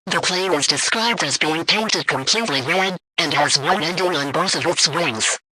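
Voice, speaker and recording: A man reading a scripted emergency broadcast announcement in a formal, flat manner. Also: a little high, normal speed, slightly rough, dry recording, low-quality recording.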